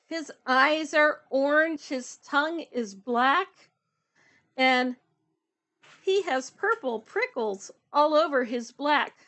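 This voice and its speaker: A woman's voice: high voice, slow pace, fairly bright tone. A woman voicing a small, clever, boastful mouse from a children's storybook, in a bold singsong manner. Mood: alarmed.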